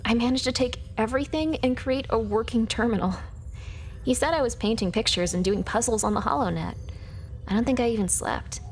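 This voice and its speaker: A woman's voice: a little high, a little fast, a little hiss or hum, close to an everyday voice. An actress playing a clever, proud young female hacker, telling a reflective story as a video game monologue. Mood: reminiscing. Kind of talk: scripted game character monologue.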